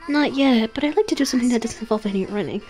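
A woman voices a reserved, thoughtful schoolgirl in an anime game story. The delivery is polite, soft and inward, with light music playing behind it. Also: on the high side, very fast, close to an everyday voice. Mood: pensive.

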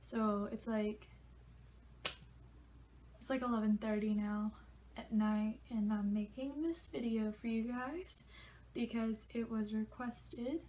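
A woman's voice: fairly high pitch, fairly even intonation, takes it slowly, pauses often, dark tone. Congested young woman chatting to camera. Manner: chatty, loose and swearing freely. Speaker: vlogger. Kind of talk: casual chat to camera. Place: small bedroom.

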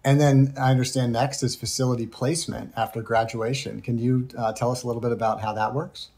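A man's voice: medium pitch, flat delivery, fairly quick, darkish tone. A man hosting an interview podcast, asking questions in a conversational way.

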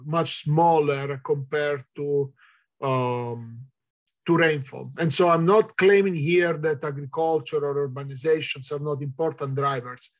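Italian guy on a video call, kinda high voice, lots of uhs while he thinks. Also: fairly even intonation, unhurried. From Italy. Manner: hesitant, many "uh" fillers, thinking aloud. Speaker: researcher answering audience questions. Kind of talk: Q&A in an online talk. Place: indoors, virtual background.

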